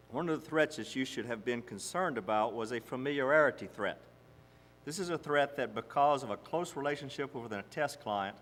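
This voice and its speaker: Man playing male board member, mid-pitched, formal, pointed, deliberate questioning, big reverberant hall. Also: a bit bright, close to an everyday voice. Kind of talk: amateur dramatization read on stage. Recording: echoey hall, long reverb.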